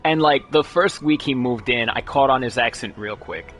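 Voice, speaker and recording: A man streaming a video game, chatting casually and excitably, rambling with lots of fillers and restarts. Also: mid-range voice, moderate pace, a little hiss or hum. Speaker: gaming streamer. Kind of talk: casual live commentary while gaming. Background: faint game music.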